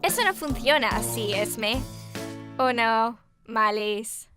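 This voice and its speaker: A high-voiced sensible cartoon princess. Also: female voice, takes it slowly, music in the background. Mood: puzzled, exasperated. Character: sensible, slightly exasperated young cartoon princess girl.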